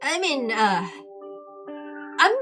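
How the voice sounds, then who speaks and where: Chatty young woman streamer, lively pitch. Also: very slow, soft background music. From Thailand. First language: not English. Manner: rambling, filler-heavy, frequent restarts. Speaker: game streamer. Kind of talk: casual livestream commentary.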